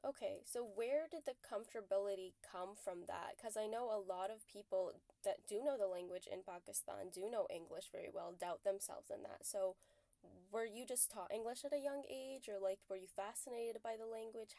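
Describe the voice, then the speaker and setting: A woman's voice: mid-range voice, moderate pace, mellow, no echo. A woman from Canada hosting a casual interview, asking curious follow-up questions with hesitant restarts. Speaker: podcast host.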